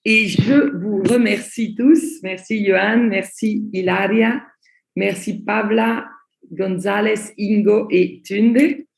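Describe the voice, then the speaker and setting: A woman who grew up in Belgium, Italian by birth, giving an online training slowly and deliberately for interpreters. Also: medium pitch, creaky, darkish tone, roomy sound. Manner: slow, deliberate, repeats key points.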